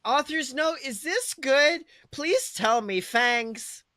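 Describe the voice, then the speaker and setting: A gushing teenage goth girl as a man acts her out, pitched high and swooping wildly. The delivery is bratty and overexcited, with a bright, forceful edge, as in a comic storybook reading. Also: animated, takes it slowly, no echo, studio quality, heavily acted. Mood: bratty, pleading.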